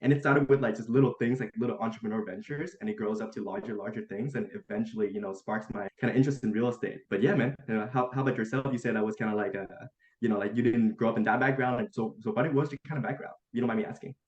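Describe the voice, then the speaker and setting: A man's voice: fairly low pitch, fairly even intonation, rapid-fire, darkish tone. A young man from Vietnam, a college student guest, chatting in a friendly, upbeat way and saying "man" and "like" a lot, over a video call. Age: young adult. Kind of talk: casual interview chat. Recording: dull, roomy video call audio.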